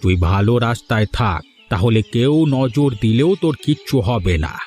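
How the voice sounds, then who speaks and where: A man's voice: medium pitch, animated, moderate pace, a little mellow, lightly acted. A man voicing an older village uncle and headman in a cartoon, authoritative and admonishing, with a West Bengal Bengali accent. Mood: approving. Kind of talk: cartoon voice acting. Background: music.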